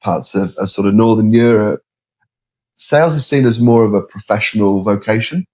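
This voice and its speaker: A middle-aged man with a British accent explaining his views in a measured, conversational way as an interview guest. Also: lowish voice, darkish tone. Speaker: interview guest, sales leader.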